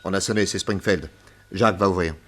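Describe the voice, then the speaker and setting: An actor playing a shrewd, self-assured detective in a French radio drama, explaining things with theatrical assurance. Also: male voice, low voice, fairly quick. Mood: businesslike. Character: shrewd, self-assured male detective planning his disguise.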